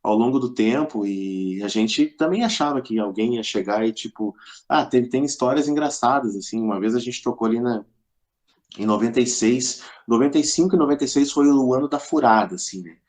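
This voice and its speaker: A man's voice: medium pitch, brisk, a little mellow. A middle-aged man with a southern Brazilian accent from Rio Grande do Sul, casually telling anecdotes as a podcast guest in a colloquial way, acting out quoted dialogue.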